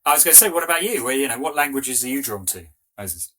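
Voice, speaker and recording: A man with a bright, forward voice chats as a podcast guest. His pitch sits in the middle range. He talks in a relaxed, easygoing way, with plenty of fillers. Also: quick pace. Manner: relaxed, chatty, frequent fillers. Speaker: podcast guest, business owner. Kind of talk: casual interview chat.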